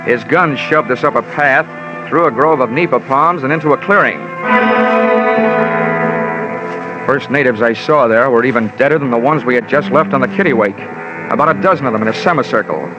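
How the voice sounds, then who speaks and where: A man's voice: medium pitch, fairly quick, loud music behind the voice, lightly acted. An actor playing a wisecracking insurance investigator, narrating his case in clipped, slangy 1940s hard-boiled radio style. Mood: tense, wry. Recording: muffled vintage radio recording.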